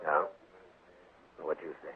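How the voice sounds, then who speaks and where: An actor playing a steady, probing marshal in a 1950s radio western, speaking in a measured, laconic, questioning way. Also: male voice, dry recording, natural voice. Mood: grim, resolved. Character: steady, probing Old West marshal, a grown man. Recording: muffled 1950s radio broadcast recording.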